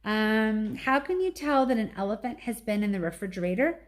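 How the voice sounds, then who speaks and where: A woman voicing a gentle, patient male gorilla in a storybook, with a mild and somewhat hesitant manner. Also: a little high, animated, moderate pace, close to an everyday voice.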